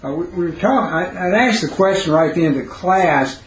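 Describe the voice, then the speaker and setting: Male bible teacher, raspy hoarse voice, halting and questioning, roomy classroom, faint background hiss. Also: high-pitched. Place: classroom, indoors. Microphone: recorder set near the speaker.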